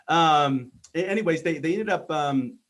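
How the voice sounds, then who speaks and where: A man's voice: on the high side, animated, moderate pace, a bit bright. A man in his thirties, from Texas, hosting a motivational podcast in an earnest, informal way with many filler ums and repeated words. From Texas, United States. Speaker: podcast host. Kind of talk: motivational personal monologue.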